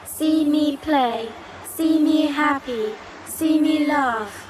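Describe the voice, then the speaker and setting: A woman's voice: sits high, very slow, background noise. A woman playing a dreamy, eerily happy young girl in a virtual-reality drama, speaking in lyrical, sing-song short phrases. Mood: entranced pleading. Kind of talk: scripted drama acting.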